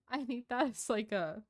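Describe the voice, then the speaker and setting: A woman's voice: a little high, expressive, slow pace. A woman giving casual live stream commentary on a game, chatting in a gushing, giggly, informal way.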